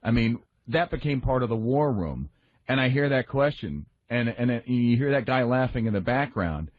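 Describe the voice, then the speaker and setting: A man in a casual radio discussion, speaking in a halting, rambling, aggrieved way. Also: medium pitch, expressive. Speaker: radio show staff member and comedy writer. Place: radio studio. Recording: slightly dull, lo-fi radio audio.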